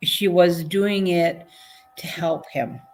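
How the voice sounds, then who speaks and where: A woman guest on an online panel, recounting things conversationally in a hesitant, halting manner. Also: on the low side, a little hiss or hum, low-quality recording. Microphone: video call.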